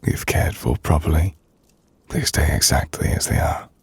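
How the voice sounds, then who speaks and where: A man playing a melancholy, gentle half-vampire nobleman in an intimate ASMR roleplay, speaking in a hushed, breathy, formal and reflective manner. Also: animated, no echo, full character voice. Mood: wistful, reflective. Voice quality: low, gravelly, breathy. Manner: hushed, breathy, slow reflective delivery with formal, measured phrasing. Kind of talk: ASMR audio roleplay, intimate dramatic monologue.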